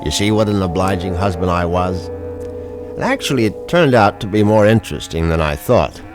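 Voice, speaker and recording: An actor playing a glib, cynical husband who narrates a radio mystery in a wry, conversational, slightly stammering way. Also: male voice, low-pitched, moderate pace, over music, close to an everyday voice. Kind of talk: radio mystery drama acting.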